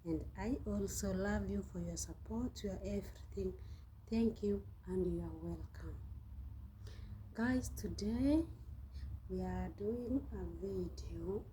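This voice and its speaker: A woman's voice: medium pitch, takes it slowly, warm tone, a little hiss or hum, low-quality recording. A young woman from Kenya chatting casually to her vlog viewers, repeating herself and laughing now and then while she sweats in the heat. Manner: chatty, repeats and restarts phrases, laughs often. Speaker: vlogger. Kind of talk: casual vlog talk to camera. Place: hot room in the Gulf.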